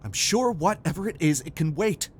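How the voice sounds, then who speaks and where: A man's voice: a little high, animated, normal speed, slight background noise, pitched above the performer's own voice, natural voice. A man voicing an urgent, protective husband in an audio sci-fi story, speaking emphatically. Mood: tense, firm. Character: urgent, protective husband fleeing alien invasion. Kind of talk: audio story dialogue acting.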